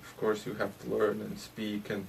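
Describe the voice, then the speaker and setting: A Latvian man, a hockey player, answering interview questions in English with a Latvian accent, haltingly and with many hesitations. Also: on the low side, monotone, vocal fry. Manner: halting, hesitant, with frequent "uh" and restarts. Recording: distant mic with faint background noise.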